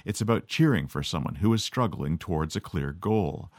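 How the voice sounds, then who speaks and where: A man's voice: low-pitched, expressive, normal speed, darkish tone, dry recording. A middle-aged man from Canada delivering a sardonic, scripted monologue as podcast host. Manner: sardonic, dry.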